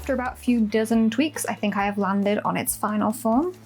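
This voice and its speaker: Female craft vlogger, medium pitch, informal and self-mocking delivery, light music bed. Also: fairly quick. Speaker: costume-making vlogger. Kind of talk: casual chatty craft vlog with voice-over narration.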